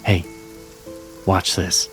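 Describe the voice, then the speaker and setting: A man playing a gentle, earnest mage mentor in a fantasy audio drama, speaking in a measured, reassuring way. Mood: lighter, friendly. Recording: music and rain behind the voice. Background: music, rain.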